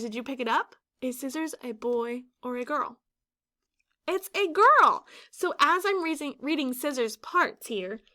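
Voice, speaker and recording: A woman teacher reads a children's picture book aloud in a high voice. Her pace is slow and drawn out, and her delivery is dramatic and expressive. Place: small indoor classroom or office.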